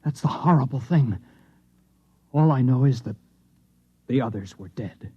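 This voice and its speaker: An actor playing a distressed, haunted man who claims to be the last Martian, with an American accent and halting, anguished delivery in a 1950s radio drama style. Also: medium pitch, expressive, slightly rough. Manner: halting, anguished, hesitant and repeating himself. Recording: dull, muffled vintage radio recording.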